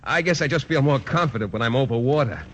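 Brief: an actor as a timid stammering science teacher, vintage radio comedy, lively pitch, dull old broadcast. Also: male voice, fast, a little hiss or hum, close to an everyday voice. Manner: hesitant, stammering, formal wordy. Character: bashful shy male biology teacher, adult. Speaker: biology teacher. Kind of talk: 1940s radio sitcom acting.

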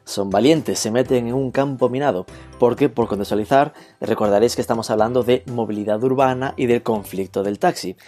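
A man hosting a podcast interview, talking briskly with playful asides. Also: mid-range voice, mellow, soft background music. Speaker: podcast host.

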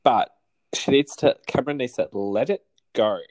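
A man's voice: mid-range voice, normal speed, darkish tone, poor audio. An Australian man co-hosting a podcast, gossiping animatedly about reality TV and swearing freely. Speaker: podcast co-host. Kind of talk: casual chat recapping reality TV.

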